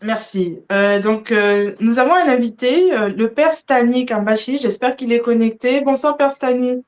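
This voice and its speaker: A woman hosting a live religious discussion, partly reading from notes, with a hesitant, halting delivery. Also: fairly high pitch. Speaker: host of a religious discussion show.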